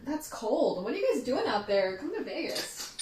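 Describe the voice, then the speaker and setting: A woman's voice: high-pitched, big pitch swings, normal speed, heavy background noise. The woman you'd find hosting a cozy tasting livestream from a roomy, echoey space, a native Tagalog speaker ribbing her regulars playfully. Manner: playful, teasing, jokey. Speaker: host of a tea tasting livestream. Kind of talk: casual livestream chat with viewers.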